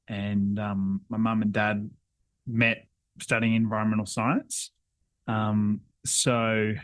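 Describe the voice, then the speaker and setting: A man's voice: fairly low pitch, dry recording. A young man from northern New South Wales, talking casually in an interview with many hesitations and restarts. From northern New South Wales, Australia. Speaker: environment council coordinator.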